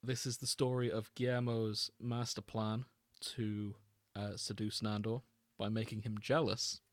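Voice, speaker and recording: A man's voice: on the low side, level tone, a little slow, no echo. Casual male podcast host, dry close sound. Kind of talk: casual comedic podcast chat.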